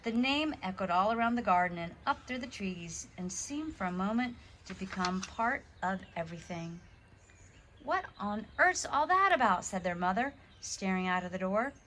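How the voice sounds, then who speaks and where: A woman reading a children's storybook aloud in an animated way, stressing key words for drama. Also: mid-range voice, moderate pace, a little hiss or hum.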